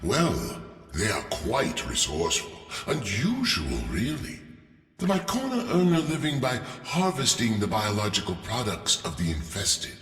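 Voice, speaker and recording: Smooth-talking formal merchant boss in a game, bright animated voice, persuasive, echoey and a bit far off. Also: male voice, on the low side, heavily acted. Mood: businesslike concern. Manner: formal, persuasive, deliberate pauses. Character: shrewd, courteous male merchant leader. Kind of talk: video game briefing acting. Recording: echoey hall, distant, faint music.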